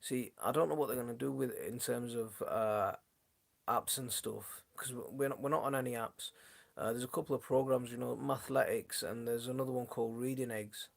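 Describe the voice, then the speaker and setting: A man hosting a gardening channel, chatting casually on a live stream from his home, his voice going hoarse, with a rambling, friendly way of talking. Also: medium pitch, fairly even intonation, normal speed. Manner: rambling, many restarts, chatty and friendly toward viewers. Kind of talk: casual live-stream chat answering viewers. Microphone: phone.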